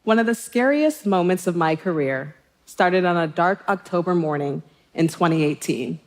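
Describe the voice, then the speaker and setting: Woman giving a measured prepared talk. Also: lowish voice, expressive. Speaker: speaker in a played talk clip.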